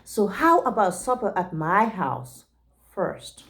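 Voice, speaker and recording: A fat little storybook rabbit played by a woman reading aloud. He is eager, proud and polite, with animated, unhurried delivery and faint noise behind. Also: medium pitch.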